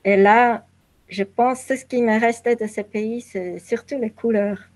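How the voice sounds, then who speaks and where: A woman from southern Africa answering interview questions reflectively, halting as she searches for her words. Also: fairly high pitch, fairly even intonation, darkish tone. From southern Africa (Zambia and Zimbabwe). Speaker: interview guest, painter.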